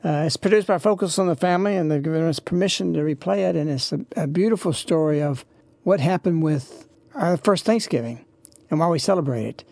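A radio host with a creaky, gravelly voice at a middling pitch, recorded close and dry. The talk is informal and devotional, wandering along in a reverent way. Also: fairly quick, darkish tone, no echo.

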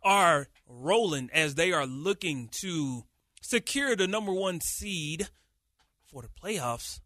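Man, sports podcast co-host, bright forceful tone, fairly high voice, animated chat. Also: slow pace, no room sound, studio quality. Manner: animated, hype-filled delivery with many restarts. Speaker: podcast co-host, radio producer.

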